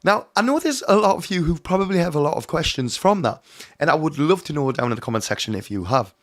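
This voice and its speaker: A hoarse man giving an earnest, emphatic teaching talk on mediumship, often calling his viewers his friends. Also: a little high, lively intonation, fast talker, a bit bright. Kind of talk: direct-to-camera teaching talk.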